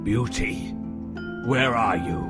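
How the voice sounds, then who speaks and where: A man playing a lovelorn cursed beast prince in an animated children's storybook, speaking slowly and pleadingly over music. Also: medium pitch. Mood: searching. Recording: music behind the voice.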